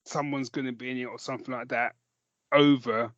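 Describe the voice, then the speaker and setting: A man with a British accent co-hosting a podcast, chatting casually in a rambling, halting way that often backtracks. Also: mid-range voice, fairly even intonation, a bit bright, no room sound, lo-fi sound. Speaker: podcast co-host. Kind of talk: casual chat about films and comics.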